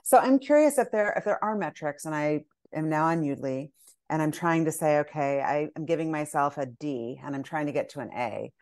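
Female interviewer, very low voice, curious and meandering questions. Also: normal speed, a little mellow. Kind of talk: conversational interview.

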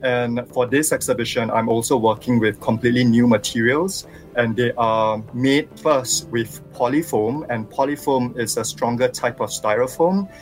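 Singaporean sculptor on a phone line. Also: male voice, mid-range voice, soft background music. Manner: thoughtful, measured explanations. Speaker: visual artist and sculptor guest. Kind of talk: phone-in radio interview.

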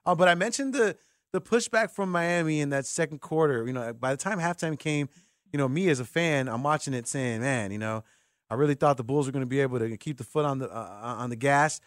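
A man's voice: medium pitch, lively intonation, a little fast, no room sound, studio quality. A man hosting a sports radio show, talking conversationally as he interviews a guest.

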